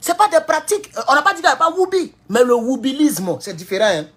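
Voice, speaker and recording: A man with an Ivorian French accent giving a heated political commentary, jumping from loud high outbursts to low mutters. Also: animated, fast talker, breathy edge. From Ivory Coast. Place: at home in California.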